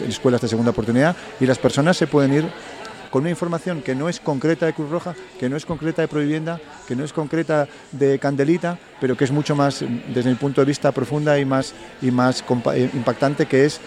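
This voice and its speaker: A man's voice: mid-range voice, steady tone, quick pace, a little mellow. A man with a Spain Spanish accent, a fair organizer talking in a live radio interview outdoors, thoughtful and full of restarts and self-corrections. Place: outdoors in city park gardens. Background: crowd.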